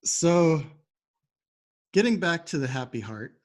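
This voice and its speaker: A man's voice: on the high side, big pitch swings, slow pace. A man hosting a casual interview chat in an agreeable, easygoing manner. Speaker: podcast host.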